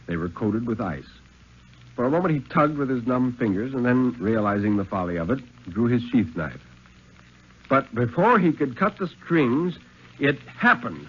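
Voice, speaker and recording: A man reading a thriller story aloud in a 1950s dramatic radio style, with suspenseful, measured phrasing and deliberate pauses. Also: medium pitch, slightly rough. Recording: muffled, lo-fi 1950s radio recording.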